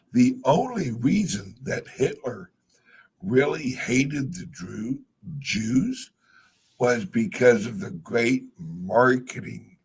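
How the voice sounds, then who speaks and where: A man's voice: medium pitch, gravelly, husky. A man speaking casually as he explains his views on a live talk show.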